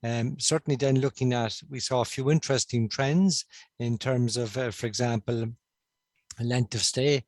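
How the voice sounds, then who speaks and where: A middle-aged man with a Cork Irish accent gives a conference talk from a room over a video call. He speaks into a headset mic at a middling pitch, with a close, dry sound. His delivery is measured and peppered with uh and um. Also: fairly even intonation, darkish tone, no echo. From Ireland. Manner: measured, with frequent uh and um fillers. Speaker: stroke consultant giving a talk. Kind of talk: remote conference presentation.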